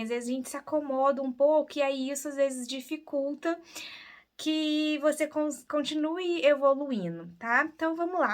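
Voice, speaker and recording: A Brazilian woman with a high, light voice teaches in a relaxed, conversational way. She speaks earnestly and keeps checking in with her listeners through tag questions. Her Brazilian Portuguese accent is clear throughout. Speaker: English teacher and podcast host. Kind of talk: casual spoken lesson.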